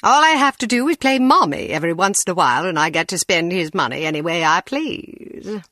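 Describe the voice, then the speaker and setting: An actress playing a haughty, coolly cruel aristocratic villainess with a condescending, theatrical drawl in a video game. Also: female voice, on the low side, lively intonation, fairly quick, bright, forceful tone, no echo, clean studio sound. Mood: smug.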